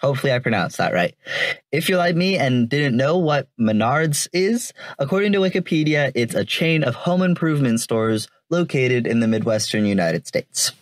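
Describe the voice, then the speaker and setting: A man's voice: medium pitch, big pitch swings, normal speed, no echo. A man hosting a commentary podcast, explaining things informally in a semi-scripted way. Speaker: podcast host and reviewer.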